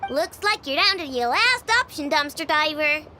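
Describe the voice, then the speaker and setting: A small, level-headed girl from a video game, who takes charge. Her voice is extremely high and childlike, with lively melodic movement over clear background music. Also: moderate pace. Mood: matter-of-fact. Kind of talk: animated video game voice acting.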